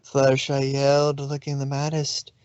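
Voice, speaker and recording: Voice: mid-range voice, monotone, moderate pace, darkish tone, clear, resonant tone, no room sound. A narrator reading fan fiction aloud informally, occasionally stumbling over words, on a slightly lo-fi recording. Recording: slightly dull, lo-fi sound.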